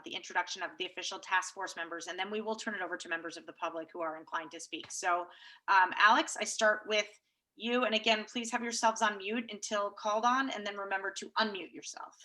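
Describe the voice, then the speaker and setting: A woman chairing a public online meeting over a video call, speaking in a halting, measured way. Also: mid-range voice, fairly even intonation, bright, forceful tone, rough recording.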